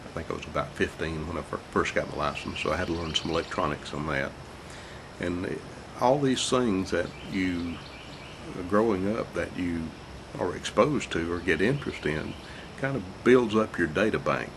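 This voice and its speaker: An older man with a congested, croaky voice, reminiscing about his life alone at home in a halting, rambling way with small chuckles. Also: deep voice. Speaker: vlogger telling his life story. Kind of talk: casual reminiscing monologue. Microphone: camera mic. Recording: noisy home camera recording.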